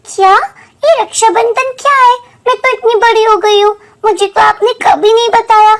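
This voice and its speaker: A woman's voice: very high-pitched, unhurried, vocal fry, voice pushed up, heavily acted. A woman voicing an innocent eight-year-old little sister in a story, with childlike, earnest questions. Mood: curious.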